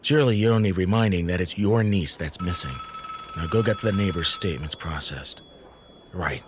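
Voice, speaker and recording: An actor playing a stern, weary, authoritative police chief in an audio drama, firm and no-nonsense. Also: male voice, deep voice, normal speed, background noise, close to an everyday voice.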